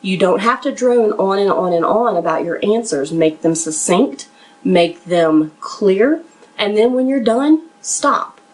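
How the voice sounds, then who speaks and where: A woman's voice: mid-range voice, animated, measured pace, a little mellow, poor audio. A woman giving conversational, instructional advice as an interview coach, speaking plainly and directly to listeners in an encouraging, slightly nervous way. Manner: somewhat nervous. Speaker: professional resume writer and interview coach hosting a podcast.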